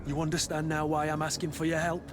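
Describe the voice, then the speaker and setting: An actor playing a blunt, sardonic guard captain in a video game, with a grumbling, exasperated manner. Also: male voice, a little high, completely flat delivery, quick pace, noisy background, natural voice. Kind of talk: scripted video game dialogue. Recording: music behind the voice.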